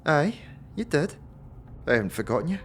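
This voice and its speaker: A woman playing a wary, sharp-tongued young female thief in a fantasy audio drama, teasing and scoffing in street slang. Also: deep voice, big pitch swings, moderate pace, husky, heavily acted.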